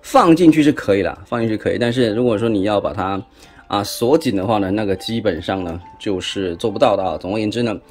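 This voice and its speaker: A man's voice: lowish voice, fast talker, darkish tone, soft background music. A man with a Taiwanese accent giving a chatty, casual hands-on toy review, often tossing in rhetorical questions. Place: indoors at a tabletop.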